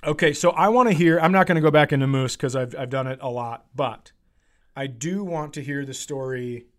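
A male comedian hosting a podcast in casual comic chat, riffing in an animated, profane, self-mocking way. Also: medium pitch, fairly quick.

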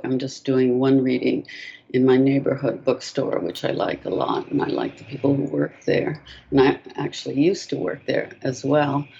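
An older woman whose first language is French, answering interview questions softly and hesitantly over a video call. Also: extremely low pitch, creaky, husky, highs cut off. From France. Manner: soft-spoken, hesitant, many ums. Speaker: author, guest.

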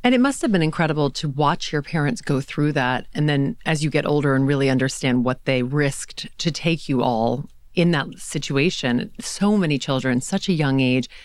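A woman hosting an interview show, talking with enthusiasm and warmth toward her guest. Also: sits low, normal speed, hardly any pauses, dry recording, studio quality. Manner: enthusiastic, effusive. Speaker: podcast host and auctioneer. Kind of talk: interview conversation. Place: studio.

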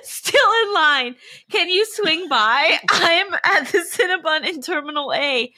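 Comedy reading: eager, over-polite traveler acted by a woman, high, bright, drawn-out, amusement leaking through. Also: animated, exaggerated voice. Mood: drawn-out, amused. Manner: overly polite, drawn-out, barely suppressing amusement. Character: mock-earnest scam baiter posing as eager traveler.

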